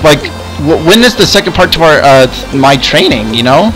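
Male gaming host, slightly high voice, hesitant improvised roleplay, repeats himself, music behind. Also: animated, fairly quick, a bit bright, poor audio. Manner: hesitant, stammering, repeats phrases. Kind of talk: improvised in-game roleplay chat. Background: background music.

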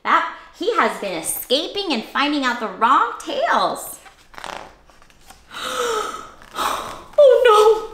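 A young adult woman reading a picture book aloud to young children in an animated, exclamatory, questioning way. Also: high-pitched, extremely slow, long pauses, background noise. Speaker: children's read-aloud host. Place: small cozy indoor room. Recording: roomy indoor echo.

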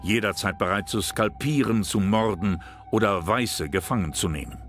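A man giving scripted documentary narration in a calm, measured, serious way, with music behind. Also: fairly low pitch.

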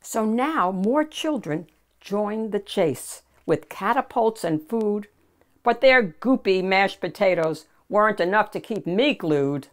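An older woman reads a children's picture book aloud in an animated, deliberate storyteller's manner. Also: medium pitch. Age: older, 60s or 70s. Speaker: children's storybook reader and host.

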